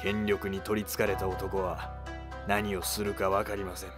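A man playing a scheming, calculating court noble of ancient Japan, speaking formally in a historical animated drama. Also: low-pitched, moderate pace, over loud music, voice pushed down, lightly acted. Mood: deferential, insinuating.

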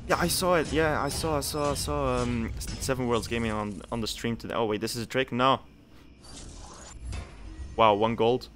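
A man's voice: medium pitch, steady tone, moderate pace, fairly bright tone, faint music behind. Chatty young man from Belgium streaming. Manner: chatty, repeats himself, reads game text aloud. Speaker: game streamer. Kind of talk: casual live-stream commentary.

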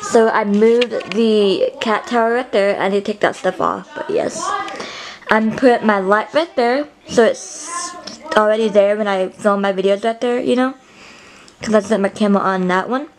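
A woman's voice: medium pitch, normal speed, background noise. A young woman vlogging casually in her bedroom, talking to a handheld camera held close to her face, rambling with lots of fillers and restarts. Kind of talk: casual vlog chat to camera. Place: small bedroom, indoors.

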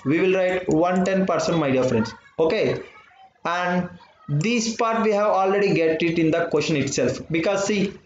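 A man's voice: high voice, normal speed. Indian guy teaching math online, says my dear friends a lot, repeats stuff, echoey muffled mic with a bit of hiss. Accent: Indian English. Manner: repeats phrases, keeps saying "my dear friends" and "understood or not". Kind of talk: live online math class. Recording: dull, roomy audio with faint noise.

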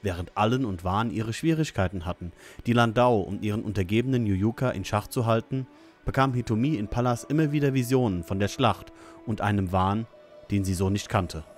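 A fairly low male voice reads scripted narration for an audio drama. Faint music plays underneath. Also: brisk.

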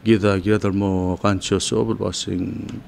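Palauan guy in his 40s, kinda low gravelly voice, rambling easy talk with lots of uhs, small studio mic. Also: unhurried, slight background noise. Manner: relaxed, rambling, many fillers and chuckles. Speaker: senator on a talk show. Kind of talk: casual radio talk, mixing two languages. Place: small decorated radio studio. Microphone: desk mic on a stand.

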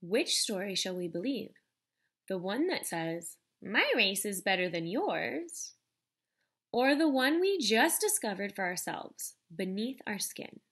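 Slow-paced woman reading to children. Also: mid-range voice, slightly rough. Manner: deliberate, expressive, addressing young listeners directly. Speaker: teacher reading aloud. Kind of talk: children's picture book read-aloud.

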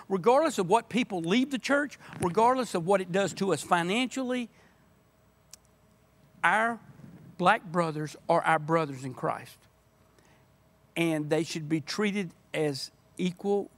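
An older man speaking earnestly and passionately as a church member in a podcast conversation. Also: high-pitched. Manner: passionate, emphatic. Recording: podcast audio.

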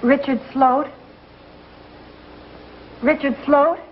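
Prim frontier schoolteacher, muffled old soundtrack. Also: female voice, sits high, steady tone, drawn-out words, a little hiss or hum. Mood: formal, composed. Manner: proper, precise, formal diction. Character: prim, gentle but firm frontier schoolteacher woman. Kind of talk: television Western acting. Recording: dull old TV soundtrack with music.

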